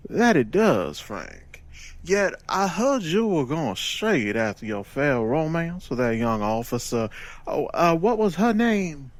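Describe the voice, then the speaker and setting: Give the floor to a courteous, menacing gangster boss played by a man in an audio drama. He speaks slowly in florid, formal phrases, his pitch gliding widely. The sound is close and dry. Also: sing-song, dry recording. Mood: mock courteous. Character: smooth, theatrical male gangster boss, mock-courteous.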